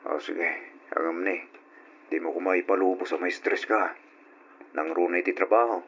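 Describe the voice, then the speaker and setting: Low-voiced, worried husband. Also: male voice, fairly even intonation, normal speed, fairly bright tone. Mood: worried, coaxing. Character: anxious, protective husband longing for a child. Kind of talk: old radio drama acting. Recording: dull radio audio, faint noise.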